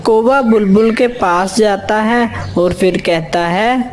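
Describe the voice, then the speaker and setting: Slow-speaking woman storyteller. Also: mid-range voice, darkish tone, slight background noise. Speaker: narrator. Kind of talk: scripted children's story narration.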